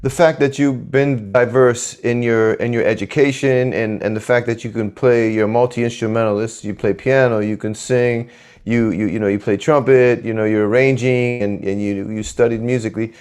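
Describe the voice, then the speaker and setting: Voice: mid-range voice, fairly even intonation, normal speed, darkish tone, smooth. An interviewer asking a long rambling question, stacking clauses and restarts.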